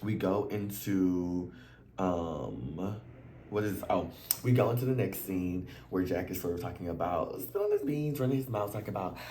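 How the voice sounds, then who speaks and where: A deep-voiced man chats through a reality show recap with lots of slang, his voice a little worn and hoarse. He now and then leaps into high, loud exclamations. The sound is roomy and echoey, with a faint hum behind him. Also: very animated, normal speed. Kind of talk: casual chat to camera.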